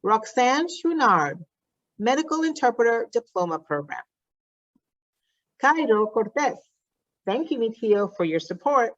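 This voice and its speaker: A woman announcer reading out names and written messages in a formal, deliberate, scripted ceremony style, enunciating as she goes. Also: a little high, very animated, no echo, lo-fi sound.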